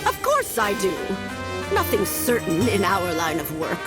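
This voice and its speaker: Slow, shrewd game madam over music. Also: female voice, medium pitch, wildly swooping pitch. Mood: smoothly placating. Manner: theatrical, wry, measured. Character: shrewd, composed older madam, scholarly curio researcher. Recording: loud music behind the voice.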